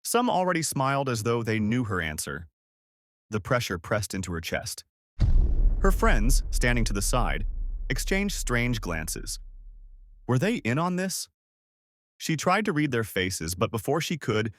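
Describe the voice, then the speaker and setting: A man's voice: mid-range voice, very animated, a little slow, fairly bright tone, no room sound, studio quality. A man narrating a scripted story dramatically, with suspenseful, emphatic short phrases.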